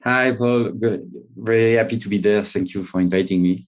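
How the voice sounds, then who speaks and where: A man with a French accent, a native French speaker, answering as an interview guest in halting, repetitive conversational English. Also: fairly low pitch, steady tone. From France. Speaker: interview guest, startup CEO.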